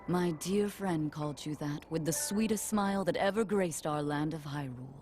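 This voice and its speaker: Proud warrior chieftain played by a woman, low, measured and commanding, protective and stately; game cutscene with clear music behind. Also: darkish tone, lightly acted. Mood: fond, solemn. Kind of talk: dramatic game cutscene acting.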